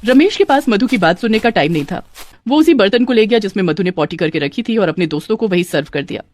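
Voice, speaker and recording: A woman's voice: mid-range voice, animated, fast, dry recording. A woman narrating a scripted moral story in the manner of a cartoon storyteller.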